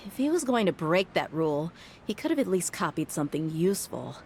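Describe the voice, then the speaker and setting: A woman's voice: fairly low pitch, big pitch swings, a little fast, a little mellow, a little hiss or hum. An actress voicing a tough, blunt young female mercenary, wry and exasperated, sighing often. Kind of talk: game voice acting.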